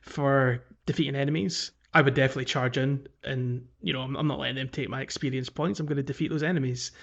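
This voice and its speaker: A man's voice: medium pitch, fairly even intonation, fast talker, vocal fry, fairly bright tone, dull audio. A man co-hosting a casual video game podcast, talking in a chatty, rambling way and often correcting himself. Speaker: podcast co-host.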